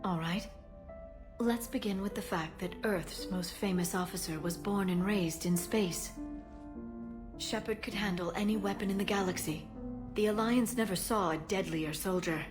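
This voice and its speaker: A woman playing a gentle alien archaeologist in a video game, soft-spoken, earnest and measured. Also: mid-range voice, over music, close to an everyday voice. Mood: tender. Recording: game score behind the voice.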